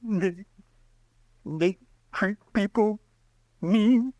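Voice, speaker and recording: Old western drifter, slow halting speech, bitter, weary, folksy, close dry sound. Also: male voice, high voice, a little mellow, no room sound. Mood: bitter, agitated. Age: older. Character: bitter, weary old frontier man, cagey and rambling. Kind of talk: old-time radio western acting.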